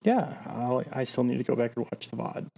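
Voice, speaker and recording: A man's voice: medium pitch, expressive, a little fast, gravelly, mellow. A man co-hosting a podcast in casual banter, joking in a dry, self-deprecating way. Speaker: podcast co-host.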